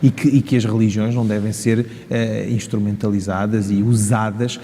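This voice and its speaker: Man, European Portuguese accent, soft dark timbre, articulate emphatic interviewee, long hall reverb. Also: fairly low pitch, level tone, moderate pace. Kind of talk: interview answers. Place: large reverberant room in a mosque.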